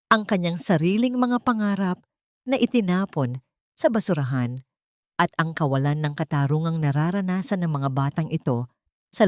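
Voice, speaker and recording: Female audiobook narrator, slightly low register, deliberate scripted drama read, very dry recording. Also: warm tone, no echo.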